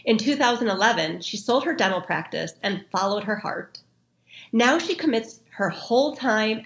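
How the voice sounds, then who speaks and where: A woman's voice: medium pitch, a bit bright. Upbeat woman podcast host. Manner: upbeat, friendly, chatty with fillers. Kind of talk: casual interview chat.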